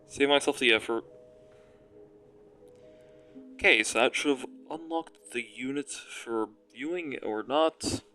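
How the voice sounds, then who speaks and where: A young man with a raspy voice rambles through his game plans, poking fun at himself as he works things out. His pitch sits in an ordinary middle range. Faint music plays softly underneath. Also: normal speed. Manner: rambling, self-mocking, thinks aloud. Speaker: gaming commentator. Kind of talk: casual gameplay commentary.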